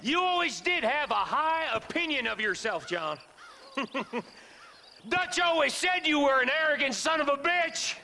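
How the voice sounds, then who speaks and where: An actor playing a bitter, menacing outlaw gang boss in a Western game, with an American accent, a gravelly, strained voice and a taunting manner. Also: male voice, extremely high pitch, sing-song, bright, forceful tone, a little hiss or hum, full character voice. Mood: mocking. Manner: taunting, threatening, drawn-out. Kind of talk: cinematic game dialogue.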